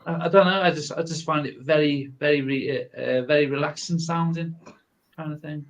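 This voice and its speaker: A man's voice: fairly high pitch, steady tone, moderate pace. A man with a Scouse accent chatting casually, often stumbling and repeating words. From Liverpool area, England. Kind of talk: casual chat.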